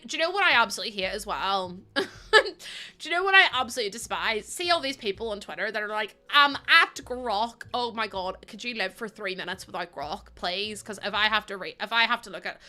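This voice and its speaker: A woman livestreaming, chatting casually with her viewers and laughing often. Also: mid-range voice. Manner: chatty, laughs often, greets chat warmly. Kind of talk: casual chat with stream viewers.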